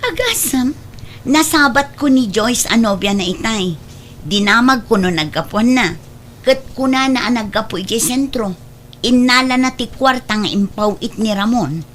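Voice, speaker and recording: A woman's voice: a little high, lively intonation, normal speed, a bit bright, slight background noise. A woman playing a resentful, meddling elder sister in an Ilocano family drama. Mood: indignant, plotting. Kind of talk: Ilocano radio-style family drama acting.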